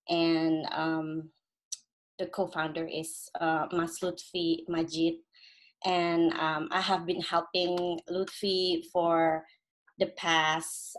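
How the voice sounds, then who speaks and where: A woman's voice: deep voice, low-quality recording. A woman in her thirties with an Indonesian accent, speaking informally and hesitantly on a video call. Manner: hesitant, halting phrasing. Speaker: guest from a community group. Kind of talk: informal speech of thanks. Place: bedroom, indoors. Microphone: computer on a video call.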